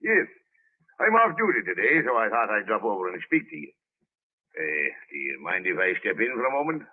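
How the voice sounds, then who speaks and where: An actor playing a nosy, stubborn, folksy police sergeant neighbor in a 1930s radio drama. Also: male voice, fairly low pitch, sing-song, a little fast, husky, phone-quality sound, heavily acted. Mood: chatty, affable. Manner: folksy, garrulous, colloquial phrasing. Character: genial, talkative, nosy Irish-American police sergeant neighbor turned stubborn. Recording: band-limited vintage 1930s radio recording.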